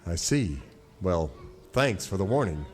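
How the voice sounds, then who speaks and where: An actor playing an upright, courteous singing cowboy leader in a radio serial parody, earnest and wholesome. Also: male voice, deep voice, very animated, a little slow, a little mellow. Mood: earnest, polite.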